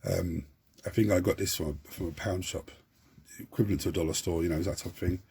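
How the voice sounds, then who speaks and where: This is a man talking through a hobby build at his desk. His voice is low and badly hoarse, rough and croaky from a lingering cold. He chats loosely, restarting and repeating words as he goes. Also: fairly even intonation, fast, warm tone. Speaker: hobby channel host. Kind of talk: model-building tutorial talk.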